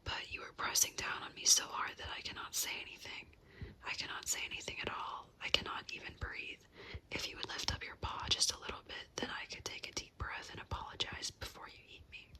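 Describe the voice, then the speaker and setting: A woman voicing a small, quick-witted male squirrel in a whispered bedtime story. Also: poor audio. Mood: pleading. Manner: soft unvoiced whisper.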